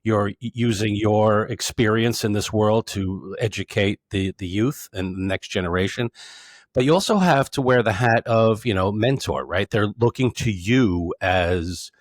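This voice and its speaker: A man's voice: lowish voice, unhurried, no echo, studio quality. A man hosting an interview, who rambles and stacks several questions together before handing over. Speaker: podcast host. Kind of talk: interviewer asking open questions.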